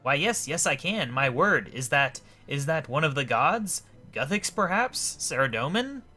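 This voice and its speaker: Pompous old gent, animated. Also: male voice, fairly high pitch, a little slow, voice pushed up, full character voice. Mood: startled, bumbling. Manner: blustering, exclamatory, old-fashioned genteel speech. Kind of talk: voicing video game dialogue.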